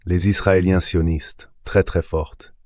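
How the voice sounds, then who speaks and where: A man reading a voice-over translation of political commentary in a measured, declarative way. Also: deep voice, little pitch movement, a little mellow, no echo. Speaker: AI voice-over translator of an interview guest.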